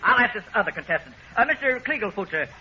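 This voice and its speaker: High-pitched, flustered quiz host. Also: male voice, very animated, fast talker, over a noisy crowd. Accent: American. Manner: formal announcer delivery, exasperated politeness. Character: excitable, flustered male quiz show host. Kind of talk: 1940s radio comedy acting. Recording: dull, noisy old radio recording.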